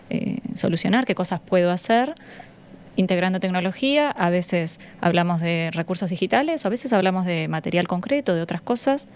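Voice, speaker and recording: A woman's voice: lowish voice, darkish tone, clear, resonant tone. A woman with a Uruguayan accent, a schoolteacher explaining things conversationally as a studio guest, often searching for words. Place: radio studio. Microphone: studio microphone.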